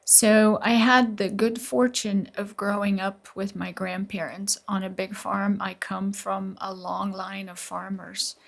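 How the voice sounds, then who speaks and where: A woman's voice: mid-range voice, level tone, measured pace, creaky, hardly any pauses. A middle-aged woman from Slovenia, a neurologist guest telling her story earnestly and at length in an interview.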